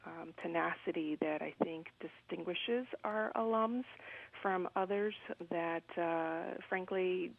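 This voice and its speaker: A woman's voice: on the low side, vocal fry. A woman from Colombia, a business guest speaking earnestly and articulately in an interview, with frequent ums. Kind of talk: interview conversation. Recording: phone-like narrow radio sound.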